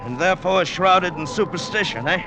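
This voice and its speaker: An actor playing an inquisitive, educated mountaineer in a 1940s radio drama style. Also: male voice, high voice, fairly quick, close to an everyday voice. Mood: intrigued. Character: curious, educated mountaineer on an Andean expedition. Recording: noisy vintage radio recording, outdoor ambience.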